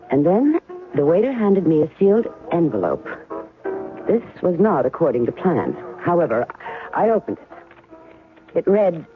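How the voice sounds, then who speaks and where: A tinny 1970s radio drama recording carries a guarded, coolly skeptical ex-wife. Her delivery is measured and wry, with expressive swings in pitch and small hesitations. Faint music sits behind her. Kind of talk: 1970s radio drama acting with first-person narration.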